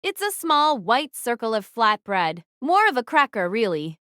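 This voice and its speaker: A woman's voice: fairly high pitch, very animated, a little slow, fairly bright tone, no echo, clean studio sound. A woman playing a guarded, wary teenage girl archer who narrates her own story in an audio drama. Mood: wary, tense.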